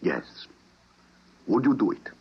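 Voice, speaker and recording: A man acting a grave older council president and former scientist, formal and deliberate, in a 1950s radio drama. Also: natural voice. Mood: persuasive, grave. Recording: muffled old radio recording.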